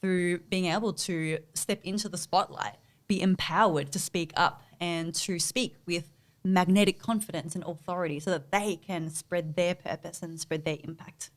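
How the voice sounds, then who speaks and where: A woman's voice: fairly low pitch, steady tone, moderate pace. Emphatic woman coach. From Australia. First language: Vietnamese. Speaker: guest, business coach. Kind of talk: motivational interview answers.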